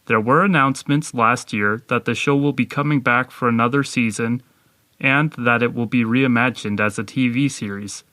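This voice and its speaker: Man, medium-pitched voice, podcast co-host, scripted review delivery, dry close recording. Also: steady tone, normal speed, bright, forceful tone, no room sound.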